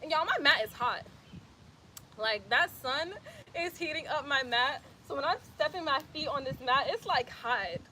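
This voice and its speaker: High, bright-voiced Atlanta woman. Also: animated, moderate pace, a little hiss or hum, low-quality recording. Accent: Atlanta, Georgia. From Atlanta, Georgia, US. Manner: chatty and laid-back, says "y'all" and laughs often. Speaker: handstand practice vlogger. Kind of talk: casual workout instruction. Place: outdoors in bright sun on an exercise mat.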